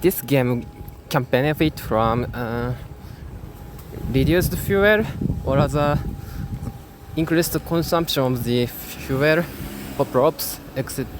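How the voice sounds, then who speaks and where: A young man with a Japanese accent speaks English slowly and haltingly, pausing on fillers as he works through his thoughts. His voice sits at a middle pitch, and heavy wind roars across the microphone outdoors, with a boat engine droning behind him. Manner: hesitant, many fillers and restarts. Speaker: gamer. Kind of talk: casual spoken commentary. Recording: loud wind noise on the mic.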